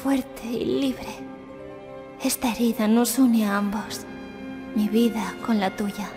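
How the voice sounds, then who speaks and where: Anime dub role: sorrowful, resolute young assassin girl played by a woman, highish voice, long reverb. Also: fairly even intonation. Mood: tender sorrow. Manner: quiet, solemn, measured. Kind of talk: anime fan dub acting. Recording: long reverb under background music.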